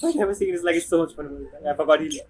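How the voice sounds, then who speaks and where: A woman's voice: sits low, fast talker, a little mellow. A woman game streamer giving casual, informal reactions in short fragments over gameplay.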